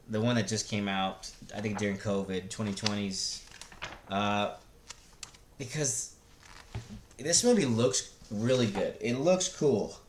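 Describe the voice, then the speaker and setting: Low-voiced man rambling in an echoey room. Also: a little hiss or hum. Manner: hesitant, halting, searching for words. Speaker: video host reviewing films. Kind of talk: casual rambling commentary. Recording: roomy, echoey sound.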